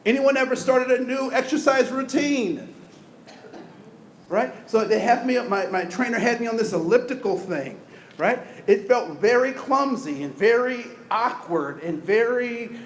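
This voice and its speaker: An energetic man with a high voice leads a diversity training session, often asking the audience questions. He is recorded in an echoey room with faint background noise. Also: measured pace. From United States. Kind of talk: interactive training talk.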